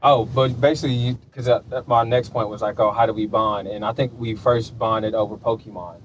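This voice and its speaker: A man's voice: on the low side, steady tone, fairly quick, muffled recording, a little hiss or hum. A man in his late twenties hosting a podcast in casual conversation. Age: late 20s. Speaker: podcast host. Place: podcast studio.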